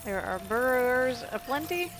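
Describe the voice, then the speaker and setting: A woman's voice: high voice, lively intonation, normal speed, poor audio. Female game streamer, chatty and casual, talks through her play over game music. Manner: thinks aloud, chatty. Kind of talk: casual gameplay commentary. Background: video game music and sounds.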